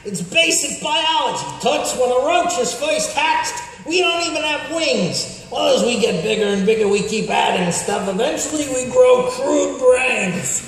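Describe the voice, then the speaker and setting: An echoey hall rings around a brash giant cockroach. The voice is very high and gravelly, full of crude streetwise slang, like a radio comedy vizier who takes no guff. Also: male voice, lively intonation, a little slow, few pauses, fairly bright tone, exaggerated voice. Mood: brash, indignant. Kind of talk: comic radio theatre acting. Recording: echoey hall from afar, faint noise.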